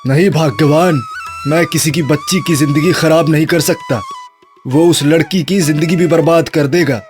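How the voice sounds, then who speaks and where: A man's voice: on the high side, huge pitch swings, moderate pace, faint music behind. Man acting a weary, exasperated father. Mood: exasperated, resigned. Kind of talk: Hindi cartoon moral-story acting.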